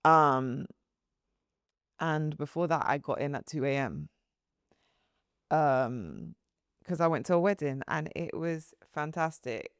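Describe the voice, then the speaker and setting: A woman's voice: sits low, animated, takes it slowly, pauses often, clean tone, dry recording, studio quality. A woman co-hosting a podcast in casual chat, rambling and restarting her sentences, often giggling. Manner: rambling, loses her train of thought, giggly. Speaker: podcast co-host.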